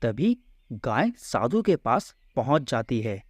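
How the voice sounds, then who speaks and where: A man narrating a scripted children's story in an expressive, deliberate storyteller's manner. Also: medium pitch, breathy edge, no room sound.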